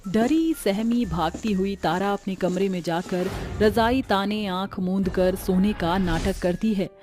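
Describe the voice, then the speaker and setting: A woman's voice: mid-range voice, unhurried, a little mellow, soft background music. A woman narrating a scripted cartoon story in a dramatic storytelling style.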